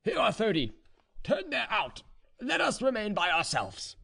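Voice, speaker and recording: Storybook drunk serf, boastful and defiant, played by a male reader: high voice, slow, hesitant tipsy delivery. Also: huge pitch swings, creaky, sharp tone, husky, exaggerated voice. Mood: defiant, commanding.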